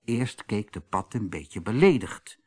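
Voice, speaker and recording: A man narrating a children's audiobook as an expressive storyteller. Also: lowish voice, a little slow, breathy edge.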